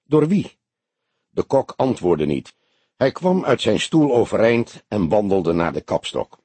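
Husky, low-voiced male audiobook narrator. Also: big pitch swings, unhurried, a little mellow, no echo. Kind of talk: scripted dramatic story narration.

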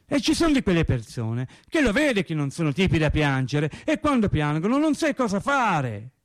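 An actor playing a naive working-class man in a radio drama monologue, telling his sad story in a colloquial, halting, wry way. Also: fairly high pitch, huge pitch swings, no room sound. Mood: resigned, sorrowful. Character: naive working-class man recounting his cancer and death, resigned, tender.

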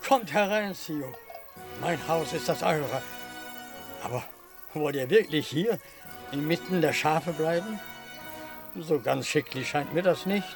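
Elderly shepherd: gravelly, obliging, humble servant in a radio thriller. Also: male voice, fairly high pitch, lively intonation, measured pace, pauses often, over music. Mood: humble, surprised. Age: older. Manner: deferential, obliging. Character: humble, loyal old shepherd serving a mafia boss. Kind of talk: radio crime drama acting.

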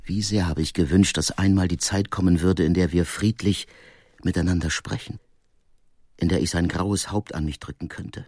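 An actor playing a brooding, sensitive painter who reads from his diary in an earnest, introspective and deliberate way. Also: sits low, steady tone, darkish tone, no room sound, studio quality. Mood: remorseful. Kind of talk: dramatic first-person diary reading.

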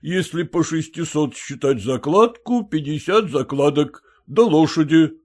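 A man's voice: fairly high pitch, lively intonation, unhurried, darkish tone, pitched above the performer's own voice. A man voicing a smug, pompous older man who lectures from his armchair. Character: older man, haughty hanger-on, lounging.

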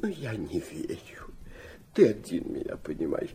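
An actor playing a sentimental, flighty old Russian prince in a radio play, rambling and hesitant, stammering and dropping in French phrases. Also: male voice, sits low, huge pitch swings, moderate pace, mellow, exaggerated voice. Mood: sentimental, effusive. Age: older.

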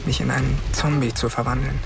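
An anxious, guilt-laden teen male played by an actor speaks at medium pitch in a radio drama. He sounds a little off the mic, with music behind. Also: little pitch movement, brisk, gravelly, far from the mic, lightly acted. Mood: troubled. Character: anxious, guilt-ridden teenage boy, the survivor.

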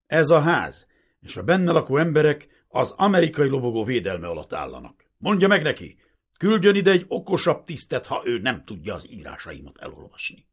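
A man's voice: low voice, animated, husky, exaggerated voice. A man voicing a proud, wounded American pilot captain in a story, angry, demanding and commanding. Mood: indignant.

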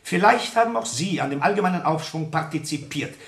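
High-pitched pedantic history teacher, officious, stilted formal diction, radio play. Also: male voice, animated, fairly quick, creaky, fairly bright tone. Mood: solemn, formal. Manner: stilted, officious, precise wording. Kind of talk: German radio play acting.

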